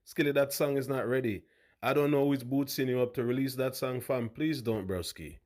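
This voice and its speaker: A man with a big voice, hosting a casual commentary vlog in a loud, hyped way. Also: mid-range voice, a little fast. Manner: loud, hype, calls viewers fam. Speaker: host.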